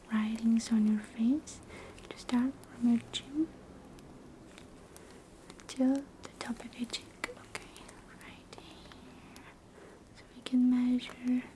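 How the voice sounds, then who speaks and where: A woman whispers right at the binaural mic as a calm doctor in an ASMR roleplay. Her voice sits high and mellow, with little rise and fall. She talks slowly and reassures, like gentle bedside talk. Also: a little hiss or hum, rough recording. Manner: soft close whispering. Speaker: ASMR creator role-playing a doctor.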